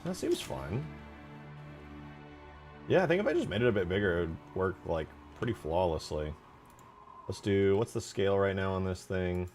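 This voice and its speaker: A man's voice: low-pitched, very animated, moderate pace, pauses often, darkish tone, soft background music. A man giving casual, unscripted commentary as he works on a game mod, thinking aloud in informal, slangy phrasing. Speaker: game modder hosting a development stream.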